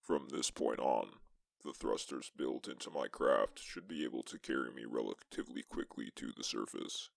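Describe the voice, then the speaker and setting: An extremely low voice, thick with vocal fry, a man playing a solitary space explorer. He narrates a sci-fi suspense tale in the first person, measured and deliberate. The sound is dry and close, with no room around it. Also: no room sound, heavily acted. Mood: measured, solemn. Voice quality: gravelly, creaky. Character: lone male astronaut explorer recounting a tense exoplanet mission.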